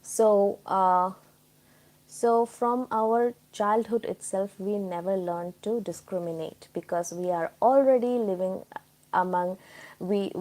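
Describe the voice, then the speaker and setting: A woman's voice: medium pitch, mellow, rough recording. A woman from Tripura with an Indian accent, hosting a casual live chat and explaining things informally to her viewers. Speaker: live stream host.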